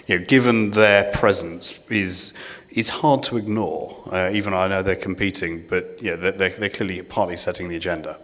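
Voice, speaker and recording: A man in a conference audience asking questions in a halting, wry way. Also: deep voice, steady tone, a bit bright. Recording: reverberant conference hall.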